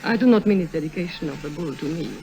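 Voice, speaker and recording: Coy, low-voiced señorita. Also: female voice, fast, warm tone, rough recording. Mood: coy, teasing. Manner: coy, formal phrasing. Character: flirtatious, self-assured Spanish señorita who adores admiration. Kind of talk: 1950s radio acting.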